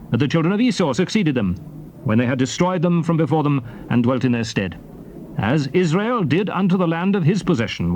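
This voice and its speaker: A man's voice: on the high side, a little mellow, slight background noise. An actor playing a solemn biblical leader recounting and exhorting his people, declaiming archaic scripture theatrically. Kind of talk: dramatized scripture reading, theatrical oratory.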